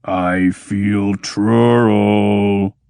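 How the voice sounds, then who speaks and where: A man voicing a dim-witted, stubborn giant machine that booms slowly and flatly. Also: creaky, clear, resonant tone, no room sound, studio quality, heavily acted. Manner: booming slow and flat.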